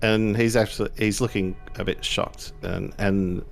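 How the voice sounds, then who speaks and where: A man narrating a tabletop game as game master, halting and searching for words. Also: on the low side, steady tone, gravelly, faint music behind.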